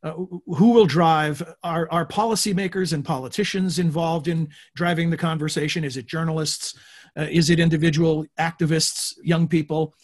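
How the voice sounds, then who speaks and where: A man with a fairly high voice moderates a podcast panel. He poses conversational, probing questions and steers the discussion toward each guest. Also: steady tone, moderate pace. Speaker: podcast host.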